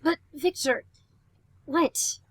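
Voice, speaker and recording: A woman's voice: high voice, drawn-out words, fairly bright tone, dry recording, full character voice. A woman playing an affectionate, worried young bride in a radio play, tender and theatrical. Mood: puzzled. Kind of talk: amateur radio-play style acting.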